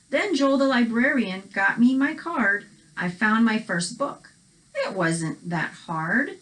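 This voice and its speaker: A woman co-hosting a children's read-aloud, telling the story in an animated, reactive way and chatting casually. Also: fairly high pitch, a little hiss or hum, low-quality recording.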